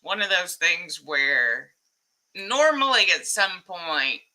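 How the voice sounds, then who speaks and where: A woman hosting a casual live stream, a little hoarse from losing her voice, rambling and laughing often as she greets her chat. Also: lowish voice, slow. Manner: excitable, reading chat names aloud.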